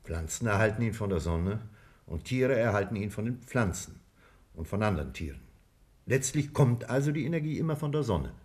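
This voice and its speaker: A man acting a sober, probing doctor in a 1950s German radio play, measured and precise. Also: fairly low pitch, very animated, darkish tone. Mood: matter-of-fact. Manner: measured, matter-of-fact, precise. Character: sober, probing male doctor challenging a theory.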